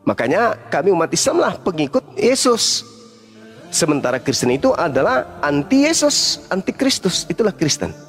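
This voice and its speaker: Male preacher, high voice, combative debater firing rhetorical questions, faint music underneath. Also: sing-song, normal speed. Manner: forceful, rhetorical questions, swings from low to loud emphasis. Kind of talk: heated religious debate.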